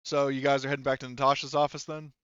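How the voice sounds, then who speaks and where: A man's voice: medium pitch, little pitch movement, fast talker, a bit bright, clean tone, no echo, muffled recording. A man running a tabletop game as game master, talking casually and often rephrasing himself as he goes.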